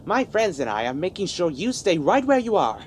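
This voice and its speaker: Man acting an earnest young sidekick, widely swinging pitch, nervy, inquisitive, audio drama, faint noise. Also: big pitch swings, normal speed. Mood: uneasy, defiant. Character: earnest, nosy, nervous young male monster-hunting sidekick.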